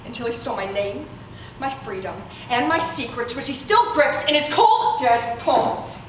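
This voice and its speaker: A proud, wronged fairy, acted by a woman speaks in a fairly high, declamatory stage voice. She is theatrical and bitter about her lost magic. The sound is very echoey and picked up from a distance. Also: very animated, normal speed. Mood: bitter, furious. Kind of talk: amateur stage drama. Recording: echoey room from far off, noisy.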